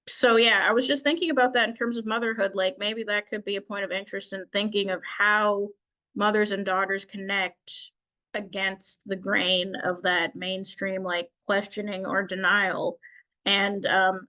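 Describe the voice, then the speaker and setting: A woman's voice: mid-range voice, flat delivery, moderate pace, pushed and bright, poor audio. A woman making informal, reflective and meandering remarks as a participant at a symposium, often saying "like". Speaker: audience participant commenting.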